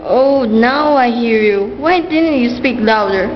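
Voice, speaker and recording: A woman's voice: a little high, expressive. A woman playing a drowsy, grumpy, forgetful male god of sleep in an amateur radio play. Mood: resigned, sleepy. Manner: groggy, slow to understand. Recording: muffled, noisy, long reverb.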